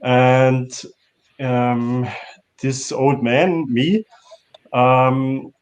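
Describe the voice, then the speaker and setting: A man giving a personal account as a filmmaker guest, haltingly searching for his words in English. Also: mid-range voice, fairly even intonation, highs cut off. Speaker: filmmaker guest on a festival panel. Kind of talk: personal account in a panel interview.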